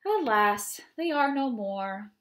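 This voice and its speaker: A woman in her thirties reading children's poems aloud in an expressive, deliberate way, with chatty asides. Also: fairly high pitch. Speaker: read-aloud host. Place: small home room, indoors.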